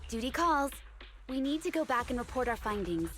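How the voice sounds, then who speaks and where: Composed high-voiced investigator. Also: female voice, very animated, a little fast, a bit bright, faint music behind. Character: composed, businesslike female investigator in an anime-style game. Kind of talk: video game voice acting.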